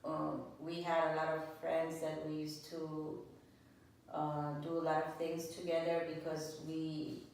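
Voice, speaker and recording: A woman's voice: low-pitched, monotone, unhurried, a little mellow. A woman in her sixties from Lebanon answering oral history interview questions in a plainspoken, hesitant way. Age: 60s. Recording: echoey room, distant mic, faint noise.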